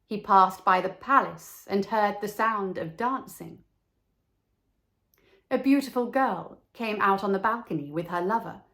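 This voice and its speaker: A woman reading a children's story as narrator, measured and expressive. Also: mid-range voice, gravelly.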